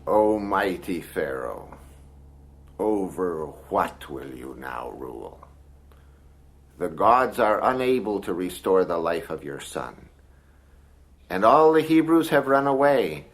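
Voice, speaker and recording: A scheming court magician. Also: male voice, deep voice, big pitch swings, slow pace, pauses often, heavily acted. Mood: goading. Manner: sly, needling counsel. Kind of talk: dramatized audio drama.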